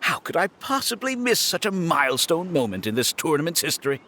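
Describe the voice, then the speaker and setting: A man's voice: on the high side, very animated, a little fast, pushed and bright, slight background noise, exaggerated voice. A man voicing a suave, scheming game villain with a grandiose, polished and condescending manner. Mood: grand admiration. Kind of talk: video game voice acting.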